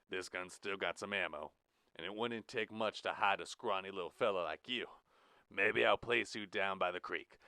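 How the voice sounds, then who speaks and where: A menacing prison warden barks and taunts in a loud, raised voice with a drawl, acted by a man reading a story. The tone is bright and forceful, recorded dry and close. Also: medium pitch, dry recording, voice pushed up.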